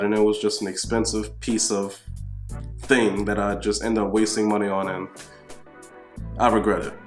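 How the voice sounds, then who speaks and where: A man's voice: mid-range voice, roomy sound. A man, a video director and editor, giving casual advice to camera while congested from the flu, talking directly and with slang. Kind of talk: casual tips vlog to camera.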